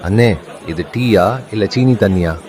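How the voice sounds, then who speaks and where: A man playing a grumbling village tea stall customer in an animated moral story. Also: fairly low pitch, normal speed, lightly acted. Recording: loud crowd noise behind voice.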